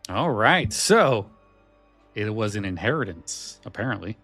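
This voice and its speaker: A man's voice: on the low side, very animated, a little slow, a bit bright, faint music behind. A man hosting a podcast, chatting casually in a hesitant, musing way. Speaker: podcast host. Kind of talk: casual chat.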